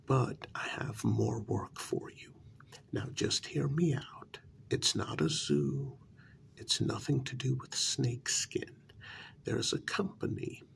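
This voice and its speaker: A man playing a cajoling talent agent in a soft-spoken ASMR role-play, half-whispering gently and coaxingly, close to the microphone. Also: medium pitch, vocal fry, exaggerated voice. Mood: coaxing, flattering. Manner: gentle, coaxing, deliberate with pauses. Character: short, cajoling male talent agent to monster women.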